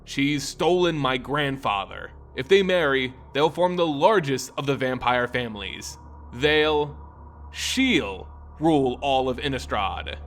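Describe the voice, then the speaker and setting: A man's voice: mid-range voice, lively intonation, takes it slowly, fairly bright tone. A man voicing a bitter, brooding ancient vampire lord in a fantasy story, grave and growling, with music behind. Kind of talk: dramatic fantasy audiobook character voice.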